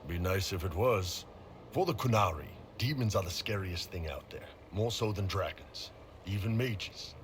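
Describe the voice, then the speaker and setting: A man playing a big, gruff horned warrior in a video game, talking bluntly and casually with some swearing. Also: deep voice, animated, moderate pace, a little hiss or hum. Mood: brooding, frank. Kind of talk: video game cutscene dialogue.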